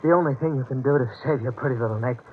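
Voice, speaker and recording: An actor playing a betrayed, wounded husband in old-time radio horror, gasping in pain, desperate yet defiant. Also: male voice, mid-range voice, very fast, lightly acted. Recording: tinny band-limited radio, music behind.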